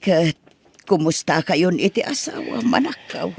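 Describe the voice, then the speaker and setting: A woman with an Ilocano accent acting as an affectionate, concerned older mother with a husky voice in an audio drama. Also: fairly low pitch, very animated, unhurried, darkish tone, no echo, heavily acted.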